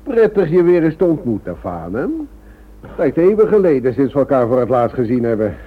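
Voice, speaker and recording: A man's voice: medium pitch, wildly swooping pitch, a little fast, husky. A man playing a mocking gangster in a radio play, sarcastically courteous. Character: mocking, genial-sounding male gangster. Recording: dull lo-fi old radio drama recording.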